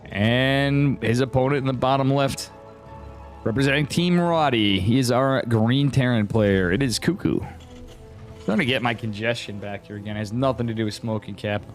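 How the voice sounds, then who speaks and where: A man's voice: medium pitch, moderate pace, faint music behind. A man with an American accent from upstate New York, chatting casually and rambling with viewers as he hosts and commentates a game livestream. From upstate New York, United States. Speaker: esports caster and stream host.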